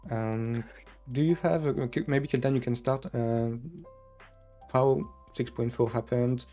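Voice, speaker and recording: A man hosting a casual developer stream, talking in a relaxed way with frequent fillers. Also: mid-range voice, measured pace, faint music behind. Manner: relaxed, filler-heavy, occasional laughs. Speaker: game developer and stream host. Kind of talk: casual dev stream chat.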